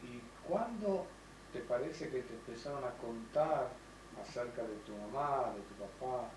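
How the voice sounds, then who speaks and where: A man interviewing a survivor, asking gentle prompting questions for an oral history. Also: medium pitch, animated, unhurried. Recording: roomy, distant, clear background noise.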